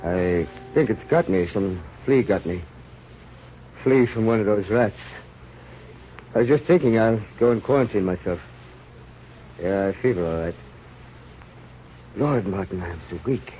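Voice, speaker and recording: A man's voice: lowish voice, animated, unhurried, long pauses, mellow. An actor in a 1930s radio drama playing a boisterous, big-hearted male adventurer and rat catcher, declaiming in bombastic broken English. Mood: weak, dying, peaceful. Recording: muffled, noisy old radio recording.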